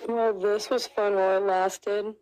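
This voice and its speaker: A woman's voice: medium pitch, flat delivery, unhurried, a little mellow, natural voice. A woman voicing a dry, sarcastic young circus performer in a fan dub. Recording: noisy home recording.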